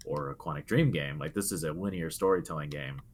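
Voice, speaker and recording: A man's voice: extremely low pitch, a little fast, a little hiss or hum. A young adult man co-hosting a podcast, talking casually about video games in an earnest, reflective way. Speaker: podcast co-host. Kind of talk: casual video game discussion.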